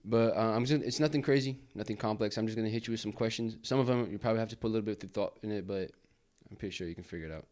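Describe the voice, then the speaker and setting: A young man who grew up in Brazil, hosting a casual podcast interview, talking in halting bursts with repeated words. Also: lowish voice, darkish tone. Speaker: podcast host.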